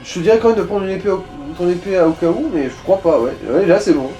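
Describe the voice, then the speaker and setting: A man's voice: high-pitched, animated, quick pace, dark tone, soft background music, low-quality recording. A man giving casual let's play commentary as he guides the player, in slangy, crude language full of swearing. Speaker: gaming co-commentator guiding the player.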